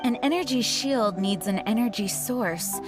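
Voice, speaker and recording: A composed, caring young knight commander played by a woman with a fairly high voice. She delivers video game dialogue with music clearly audible behind her. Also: measured pace, lightly acted. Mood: composed, focused.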